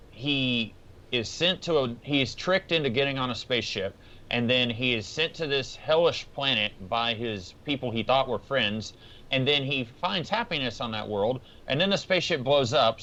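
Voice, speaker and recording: Dull lo-fi recording, man, mid-pitched voice, casual podcast discussion, conversational explaining. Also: fairly bright tone. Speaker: podcast panelist.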